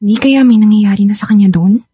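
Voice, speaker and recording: A woman's voice: medium pitch, quick pace, warm tone, slightly rough, dry recording, clean studio sound. A Filipino-accented woman acting in a radio drama as a patient, concerned friend giving sensible advice. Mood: concerned, advising.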